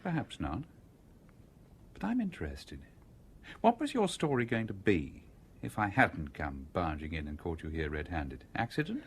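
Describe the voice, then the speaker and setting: An actor with a British accent playing an inquisitive, composed engineer in a radio drama, urbane and conversational. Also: male voice, deep voice, wildly swooping pitch, moderate pace, breathy edge, full character voice. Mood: probing. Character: inquisitive, coolly composed stranger, a male engineer.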